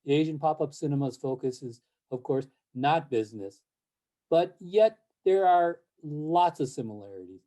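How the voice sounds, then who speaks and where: An older man born in Japan and raised in the United States, speaking, answers interview questions over a video call in a halting way, with many fillers and restarts. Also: fairly high pitch, expressive, dark tone. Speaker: interview guest, film series board president.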